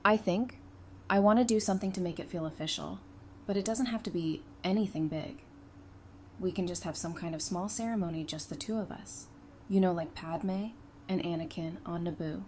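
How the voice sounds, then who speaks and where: A woman acting an affectionate, gently teasing girlfriend in an audio drama, in a casual conversational manner. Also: lowish voice, normal speed, natural voice. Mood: playful, questioning. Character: affectionate, teasing girlfriend in a post-apocalyptic romance. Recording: noticeable background noise.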